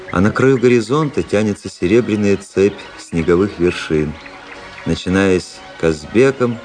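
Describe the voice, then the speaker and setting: Low-voiced contemplative diarist officer. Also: male voice, darkish tone. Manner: measured, lyrical, literary. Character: observant, world-weary male officer reading his private diary. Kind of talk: dramatic diary narration in a radio play.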